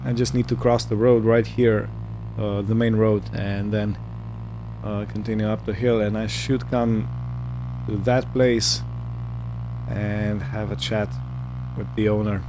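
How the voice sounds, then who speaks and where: A man's voice: lowish voice, level tone, a little slow, long pauses, background noise. A man giving casual roleplay gameplay commentary, musing aloud as he narrates what he is doing, with faint vehicle and ambient sound behind him. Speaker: gaming video host.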